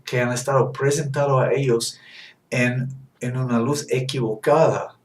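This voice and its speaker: A man's voice: mid-range voice, steady tone. Guy preaching in his second language, english native, stops n fixes words a lot, echoey room, mic kinda far. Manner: earnest, halting with self-corrections. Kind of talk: teaching sermon.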